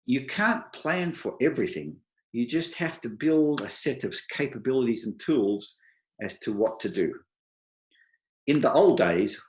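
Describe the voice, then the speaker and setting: Australian guy, fairly low voice, talking slow and clear, bit of dry humour, middle aged. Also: echoey room. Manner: slow, deliberate, clear delivery for non-native listeners. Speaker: IT professional speaking at an online meetup. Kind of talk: advice talk to an audience.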